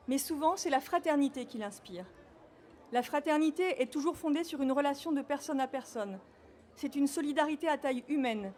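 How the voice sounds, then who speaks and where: A woman from Normandy giving a measured, solemn official speech at a ceremony. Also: high voice, a little mellow, slight background noise. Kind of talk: formal read speech.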